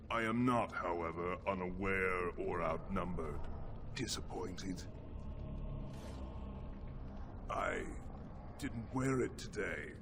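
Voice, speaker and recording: Male actor as proud dwarven royal heir, outraged and imperious, mid-pitched, for a fantasy game; noisy backdrop. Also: natural voice. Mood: cold, accusing. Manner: haughty, formal, commanding. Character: proud, outraged dwarven prince, stern elder brother. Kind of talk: dramatic fantasy game acting.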